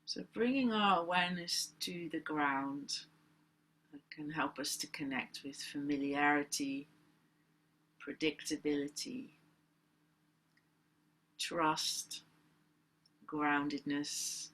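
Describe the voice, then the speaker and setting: A woman leading a guided meditation as a teacher, speaking in gentle, deliberate phrases with long pauses. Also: deep voice, low-quality recording. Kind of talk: guided meditation, unscripted spoken instruction.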